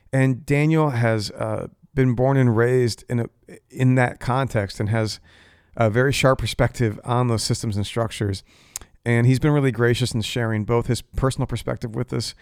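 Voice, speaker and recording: Earnest guy pastor talking to his church, smooth voice, pretty dry sound. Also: mid-range voice, darkish tone, no room sound. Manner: earnest, measured, addresses the congregation. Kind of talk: church talk and interview.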